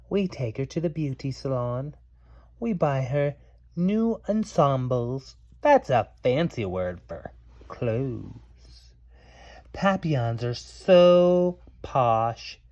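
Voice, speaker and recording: A man's voice: mid-range voice, very animated, takes it slowly, a little mellow, dull audio. A man reading a children's storybook aloud to a child, playful and theatrical, stopping to explain words simply.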